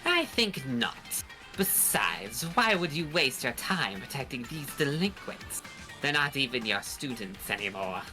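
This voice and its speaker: Girl doing a sneering villain creature for a game, low bright voice, super swoopy, bit of music behind. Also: big pitch swings, exaggerated voice. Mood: haughty, sarcastic. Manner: pompous, mocking, drawn-out taunting. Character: sneering theatrical villain creature, pompous and cruel tormentor. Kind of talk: hobby voice acting of a visual novel game.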